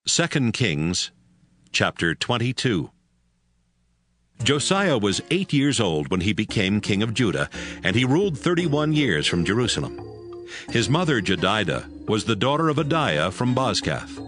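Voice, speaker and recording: A man narrating scripture as a scripted, dramatized audiobook in a measured storytelling style. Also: lowish voice, very animated, fairly bright tone. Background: prominent music.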